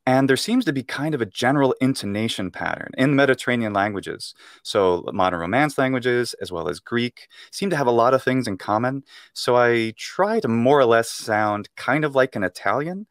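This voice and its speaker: A man's voice: mid-range voice, lively intonation, normal speed, hardly any pauses, no echo, clean studio sound. A young American man, a Latin teacher, explaining at length in a casual interview over a video call, with many fillers and restarts. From United States. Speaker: guest, Latin speaker and teacher. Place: room at home. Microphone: earphone mic on video call.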